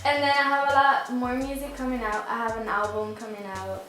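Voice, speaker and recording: Young woman from spain, soft laid-back chat, fillers everywhere, really echoey room. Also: fairly high pitch, flat delivery, fairly quick. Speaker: singer, interview guest. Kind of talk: casual interview chat. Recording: echoey room, faint music behind.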